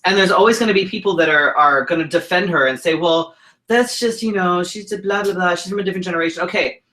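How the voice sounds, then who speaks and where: A male co-host with a bright, forceful voice talks bluntly, swearing freely as he makes his case. His delivery is indignant and direct, with plenty of restarts. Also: sits high, fast. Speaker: co-host, drag king performer. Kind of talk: heated casual chat.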